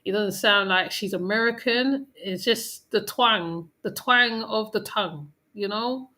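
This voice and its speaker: A woman's voice: mid-range voice, a little slow, pushed and bright. A woman hosting a reaction video, talking in a chatty commentary style with frequent restarts. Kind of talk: casual commentary.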